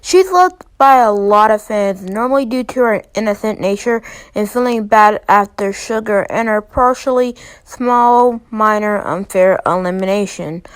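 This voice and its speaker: A woman's voice: fairly high pitch, low-quality recording. A woman hosting a fan ranking video, giving casual, emphatic, opinionated commentary in an informal way.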